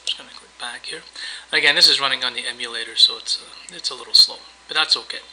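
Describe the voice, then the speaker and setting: Bright, forceful man narrating a software demo. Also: mid-range voice, fast, low-quality recording. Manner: casual, explanatory, step by step. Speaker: software tutorial presenter. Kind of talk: screencast demo narration, semi-improvised walkthrough.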